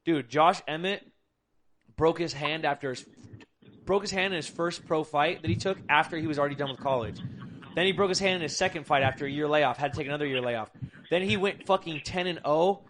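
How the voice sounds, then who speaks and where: A man's voice: fairly high pitch, a little fast, a bit bright, a little hiss or hum. A young adult man hosting a podcast, talking bluntly and emphatically with lots of swearing. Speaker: podcast host. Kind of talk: casual podcast chat.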